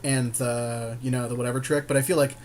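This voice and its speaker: A man's voice: medium pitch, little pitch movement, a little fast, darkish tone, slight background noise. A man co-hosting a game podcast, chatting casually and playfully about his moves, with jokes and swearing. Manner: playful, jokey, profane. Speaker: podcast co-host playing a card game draft. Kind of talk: casual game commentary between friends.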